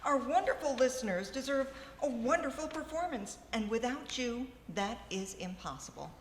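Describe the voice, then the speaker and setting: An actress playing a grand, effusive, self-important stage diva in a live radio comedy. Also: female voice, on the high side, lively intonation, moderate pace, close to an everyday voice. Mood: gushing sympathy. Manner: theatrical, effusive. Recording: echoey, noisy ballroom stage.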